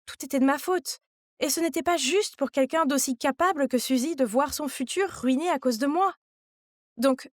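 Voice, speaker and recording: A woman narrates a scripted story in the first person as a young heroine. Her voice is high and confiding, and the recording is very dry. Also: dry recording.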